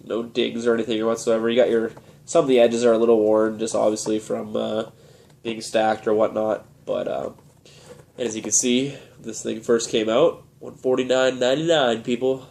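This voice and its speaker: A man's voice: medium pitch, normal speed, vocal fry, a little hiss or hum. A young man with a cold, sounding stuffed up and nasal, chatting casually through a collector's show-and-tell vlog with lots of "uh"s. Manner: relaxed, rambling, many "uh"s. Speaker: video game collector vlogger.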